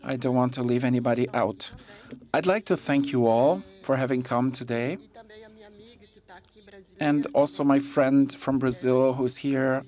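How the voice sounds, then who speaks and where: Slow, measured conference interpreter. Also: medium pitch, fairly even intonation, a little mellow. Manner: measured, with pauses and fillers. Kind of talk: live interpretation of a talk.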